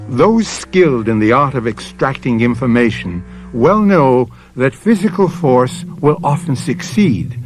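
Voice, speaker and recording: Casting: American male mystery host, mid-pitched, dramatic and measured, highly varied intonation, faint music behind. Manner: dramatic, measured, ominous rhetorical phrasing. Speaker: host of a radio mystery series. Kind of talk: theatrical scripted narration, vintage radio.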